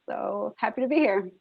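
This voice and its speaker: A woman's voice: mid-range voice, creaky, husky, no room sound. A middle-aged American woman introducing herself informally as a task force member on a video call with muffled audio. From Rhode Island, United States. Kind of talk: informal self-introduction in a meeting.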